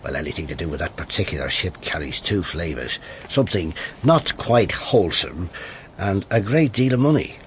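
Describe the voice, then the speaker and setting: An actor playing a blunt, sardonic police detective in a radio drama, with a dry manner. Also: male voice, low voice, expressive, moderate pace, slight background noise. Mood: wry, confiding. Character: wry, blunt male police detective inspector.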